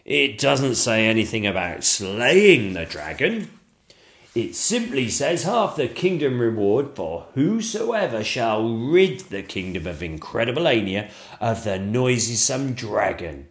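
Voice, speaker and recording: Figure: a man playing a boastful storybook dragon. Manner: pompous, slow threats. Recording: roomy echo. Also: medium pitch, sing-song. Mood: blustering. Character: boastful crafty dragon, a witch in disguise.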